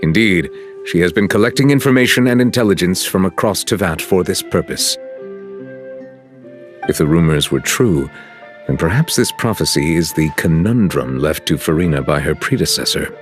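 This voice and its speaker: An actor playing a solemn, courteous chief justice in a video game, speaking in formal, measured, stately phrases. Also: male voice, low voice, expressive, faint music behind. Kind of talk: scripted game cutscene dialogue.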